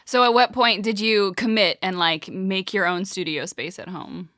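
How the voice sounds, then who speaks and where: A woman's voice: mid-range voice, normal speed, sharp tone, no room sound, clean studio sound. A woman co-hosting a casual interview, speaking conversationally. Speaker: podcast co-host, stage actor.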